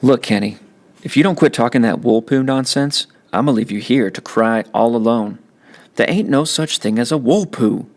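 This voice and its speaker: A man voicing a tough but caring adolescent big brother in an audiobook, blunt, slangy and gruffly reassuring. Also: mid-range voice, normal speed, close to an everyday voice. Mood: scolding. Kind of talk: audiobook character acting.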